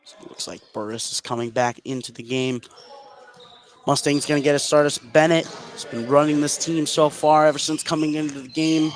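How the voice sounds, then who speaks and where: A man's voice: on the high side, steady tone, fairly quick. A man doing live play-by-play radio commentary of a basketball game. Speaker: play-by-play announcer. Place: indoor basketball gym during a game. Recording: clear gym noise behind the voice.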